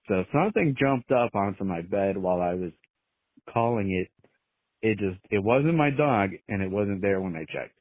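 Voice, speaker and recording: A man's voice: on the low side, lively intonation, moderate pace, no echo. Male caller, casual rambling storyteller, hesitant, filler-heavy, narrow phone-line audio. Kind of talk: casual personal anecdote. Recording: tinny phone voicemail.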